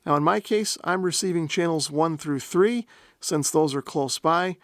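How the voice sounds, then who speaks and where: A man's voice: fairly high pitch, normal speed, a bit bright, no echo, clean studio sound. A man narrating a product demonstration in a methodical, step-by-step explanatory way. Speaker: host of a radio gear review channel.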